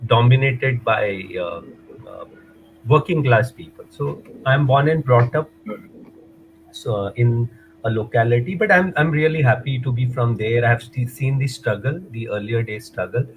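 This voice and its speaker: A man's voice: mid-range voice, moderate pace, slight background noise, low-quality recording. Rambling Indian English man on a call. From Mumbai, India. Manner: hesitant, many fillers, rambling. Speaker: guest, runner and motivator. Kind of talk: casual interview chat, mixing in Hindi.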